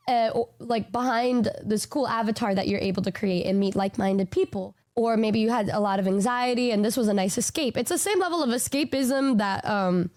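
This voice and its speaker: Woman, twenties, streamer; slightly high, loose rambling delivery. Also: a little mellow. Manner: rambling, swears, says "bro" and "like" a lot. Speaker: VTuber streamer. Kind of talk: casual stream chat.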